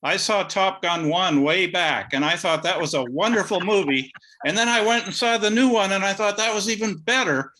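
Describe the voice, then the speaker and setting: Older guy from canada asking a friendly question, high bright voice. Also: normal speed, a little hiss or hum, low-quality recording. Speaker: audience member asking a question.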